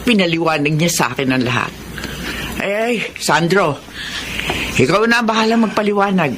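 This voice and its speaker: Animated Filipina mother played by an actress, noisy audio. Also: lowish voice, normal speed, lightly acted. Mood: concerned, motherly. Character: caring, nosy middle-aged mother, lively and advising. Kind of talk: Filipino radio drama acting.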